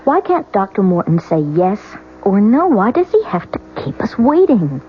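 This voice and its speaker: A fretful young wife played by an actress in a 1970s radio play, anxious and impatient. Her halting voice swings widely in pitch, on a muffled broadcast with faint hum. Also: sing-song, close to an everyday voice. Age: young adult. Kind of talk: 1970s radio drama acting.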